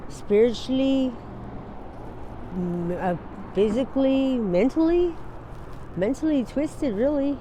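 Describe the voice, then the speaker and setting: Woman, forties, from Wyoming, speaks unpolished and halting, wanders off mid-thought, laughs easily, street sounds behind her. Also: high-pitched, expressive, mellow, slight background noise. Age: 40s. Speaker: interviewee. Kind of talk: candid street interview. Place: outdoors on a city street.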